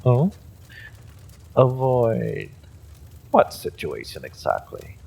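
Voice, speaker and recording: A man playing an evil sorcerer warlord in a fantasy audio roleplay, mocking and menacing with smug courtesy and a theatrical, drawn-out delivery. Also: medium pitch, sing-song, vocal fry, warm tone, husky, voice pushed up, exaggerated voice. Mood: smug, taunting. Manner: taunting, theatrical, drawn-out delivery with sinister chuckles.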